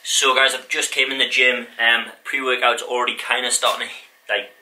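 A man's voice: mid-range voice, level tone, normal speed, pushed and bright. A young man chatting casually to camera in a small home garage gym, rambling and self-deprecating, recorded on the camera's own mic. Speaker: fitness vlogger. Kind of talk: casual vlog talk to camera. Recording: noisy home camera audio.

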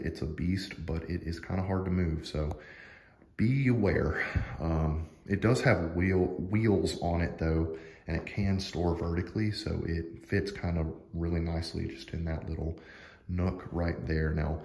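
Low-voiced man touring his gym. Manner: relaxed, matter-of-fact, many fillers and "so". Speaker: home gym vlogger. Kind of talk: casual walkthrough narration. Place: small home gym, indoors. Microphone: handheld phone camera, speaker off screen. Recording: echoey small room.

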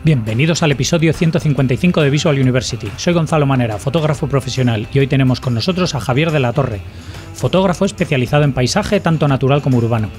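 A man's voice: mid-range voice, darkish tone. A man hosting a photography podcast, introducing his guest and asking short friendly questions over a video call. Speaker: podcast host. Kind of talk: casual interview. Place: home room with shelves. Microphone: video call, webcam.

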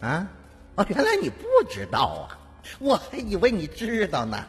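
A man's voice: high-pitched, huge pitch swings, moderate pace, fairly bright tone, husky, pitched above the performer's own voice, heavily acted. A man voicing a talkative, smug, gossipy Taoist priest in an audiobook. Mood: amused, gossipy. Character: chatty, smug, sly male Taoist priest, gossiping eagerly. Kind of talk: audiobook character acting. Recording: long hall reverb, music behind.

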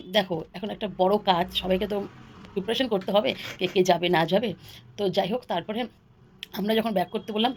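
A woman vlogger talks at a quick clip in a casual storytelling style. Her voice has a creaky edge, and she rambles, circling back over phrases for emphasis. Faint noise sits behind her. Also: mid-range voice.

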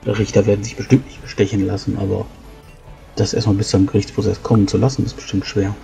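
A man improvising in-character talk as a player in a tabletop game, halting and thinking aloud. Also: fairly low pitch, flat delivery, creaky, warm tone, faint music behind. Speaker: tabletop role-playing player.

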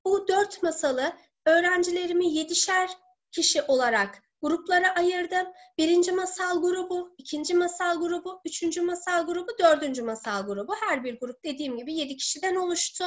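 High-pitched woman explaining step by step. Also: fairly quick, lo-fi sound. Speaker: teacher presenting a method to fellow teachers. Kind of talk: online seminar presentation, explanatory. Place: living room at home. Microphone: laptop or webcam video call.

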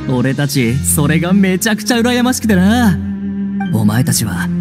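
A man playing a chatty, humble shopkeeper in a video game, talking in casual rough speech with fawning, excitable admiration. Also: sits high. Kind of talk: Japanese video game voice acting.

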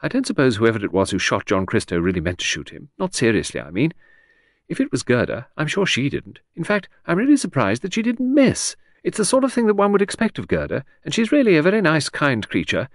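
A man's voice: a little high, wildly swooping pitch, a little fast, dry recording, clean studio sound, pitched above the performer's own voice. A man voicing a vague, charming aristocratic older lady who talks in a breezy, scatterbrained gush. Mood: fretful.

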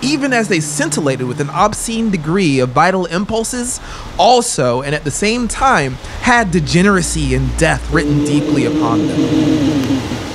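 A man in his thirties narrating a horror audiobook in a theatrical, deliberate, emphatic way, close to a studio mic. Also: on the high side, noisy background. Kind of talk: dramatic horror story narration. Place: small brick-walled studio room indoors. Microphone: close broadcast mic on a boom arm.

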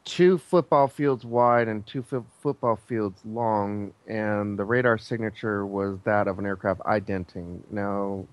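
Man, fairly low voice, dry sound, halting casual storytelling, hesitant guest. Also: smooth, no echo. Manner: halting, hesitant, searching for words. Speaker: guest, air traffic controller.

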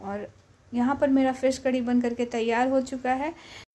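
A woman hosting a cooking channel, calmly walking through a recipe step by step in a voice-over, often pausing with "uh". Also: sits high. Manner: patient, explanatory, frequent "uh" pauses.

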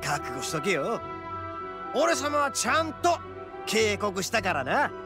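An actor playing a brash, proud young male oni gang boss in a video game, with rough, cocky, emphatic speech. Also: high-pitched, very animated, takes it slowly, over music, heavily acted. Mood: confident, spirited. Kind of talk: dramatic video game voice acting.

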